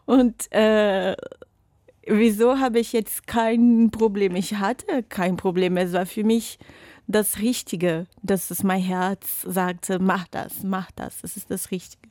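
Slow, laughing young woman. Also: medium pitch, steady tone, darkish tone, no echo. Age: young adult. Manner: searching non-native phrasing, laughs often. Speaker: interview guest. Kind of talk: casual chat. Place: radio studio.

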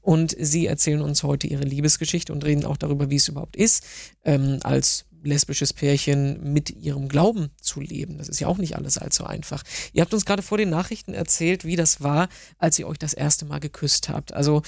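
Gravelly-voiced radio talk host. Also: medium pitch, steady tone, a little fast, no room sound, studio quality. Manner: friendly, inquisitive, some stammered repeats. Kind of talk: radio interview hosting. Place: radio studio.

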